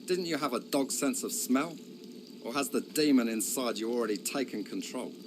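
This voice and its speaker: A man's voice: medium pitch, normal speed, noisy background, natural voice. A man voicing a blunt, accusing old counselor. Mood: worried. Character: old male royal counselor, sharp-tongued and worried.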